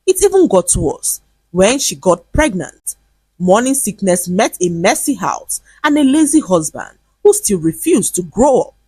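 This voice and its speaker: A woman storyteller, narrating a comic moral tale in a lively, expressive way. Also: medium pitch, a little slow, husky, no echo, rough recording.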